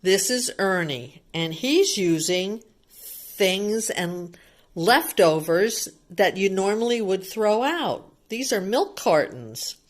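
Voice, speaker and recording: Slow, animated woman reading to young children. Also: medium pitch. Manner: simple explanations, often addressing the child with questions. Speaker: storyteller reading a picture book to young children. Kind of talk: read-aloud with chatty commentary for young children.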